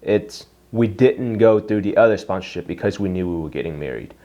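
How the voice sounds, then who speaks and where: A man's voice: deep voice, animated, mellow, lo-fi sound. Earnest young man with a Vietnamese-Southern accent. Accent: Vietnamese with Canadian and Southern US English. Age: young adult. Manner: earnest, reflective, with emphatic slow stresses. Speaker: vlogger. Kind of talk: personal storytelling to camera.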